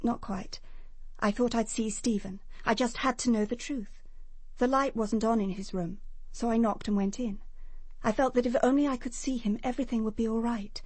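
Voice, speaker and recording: Radio drama witness, female actor: young adult, hurt and confessional, slightly high husky voice, hesitant delivery. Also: fairly even intonation, moderate pace, a little mellow, no echo, lightly acted. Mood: anxious, confessional. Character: wounded, anxious young woman giving a police statement. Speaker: actress in a radio detective drama.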